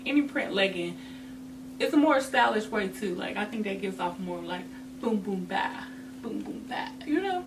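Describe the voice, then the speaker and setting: A woman hosts a vlog in a casual, chatty style, talking straight to the viewer. Her delivery is sassy and emphatic, full of informal slang, at an average pitch for a woman. The mic sits at a distance and there is noticeable noise in the recording. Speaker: host of a fashion advice vlog. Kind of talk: casual chatty advice to camera.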